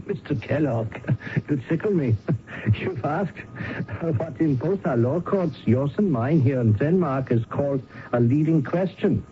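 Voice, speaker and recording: A man playing a formal, evasive Danish lawyer with measured courtesy in a radio mystery drama. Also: on the high side, expressive. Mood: amused, evasive. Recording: noisy muffled old radio recording.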